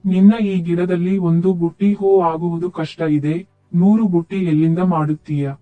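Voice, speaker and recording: A man playing a disdainful young village farmer husband in an animated moral story, blunt and belittling. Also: high voice, a little slow, faint music behind. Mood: doubting. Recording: thin phone-like sound.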